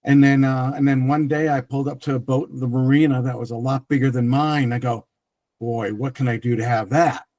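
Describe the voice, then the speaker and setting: A man's voice: medium pitch, steady tone, brisk, darkish tone, no echo. A middle-aged man whose first language is French, telling his career story as an interview guest with many restarts and fillers. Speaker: guest, tech entrepreneur.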